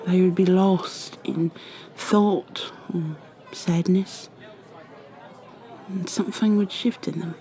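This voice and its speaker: A chatty, kindly female tavern keeper, played by a woman in an ASMR roleplay rambles gently, often dropping into a whisper. She talks slowly in a mellow tone. A faint crowd murmurs in the background. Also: on the low side, fairly even intonation, poor audio. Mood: gossipy. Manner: rambling and gentle, many fillers, drifting into whispers.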